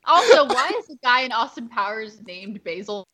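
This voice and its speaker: A woman's voice: fairly high pitch, sing-song, moderate pace, bright, forceful tone. A woman co-hosting a livestream podcast, bantering casually and teasing her co-host.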